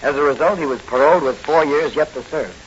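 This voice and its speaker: A man's voice: fairly high pitch, fast talker, close to an everyday voice. An actor playing an earnest young rookie policeman with a secret crime-fighting life, in 1940s radio drama style. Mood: earnest, concerned. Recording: hissy, dull old radio recording.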